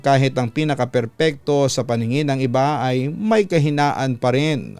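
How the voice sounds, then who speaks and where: Male Filipino radio narrator for dramatic letter readings; clean, resonant voice, close and dry over soft music. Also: mid-range voice, clear, resonant tone, no echo. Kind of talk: dramatic scripted story narration. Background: background music.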